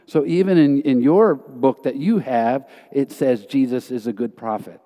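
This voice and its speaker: A man's voice: medium pitch, a little slow, warm tone. A man giving an earnest, emphatic testimony in a church hall, telling stories from his missionary teaching. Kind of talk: sermon testimony. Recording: reverberant hall.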